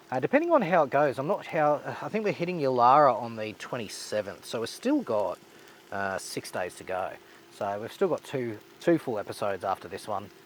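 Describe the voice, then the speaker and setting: A man with an Australian accent vlogging casually at an outdoor bush campsite while he cooks, rambling and relaxed, a little snuffly from a blocked nose. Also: mid-range voice, slight background noise. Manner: relaxed, rambling, laid-back slang. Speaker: overlanding vlogger. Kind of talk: casual outdoor vlog narration while cooking.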